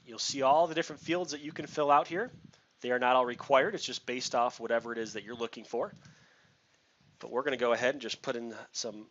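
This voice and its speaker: A man's voice: mid-range voice, fairly even intonation, brisk, fairly bright tone. A man giving a casual screen-share product walkthrough, explaining informally step by step. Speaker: software demonstrator. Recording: muffled lo-fi mic with background hiss.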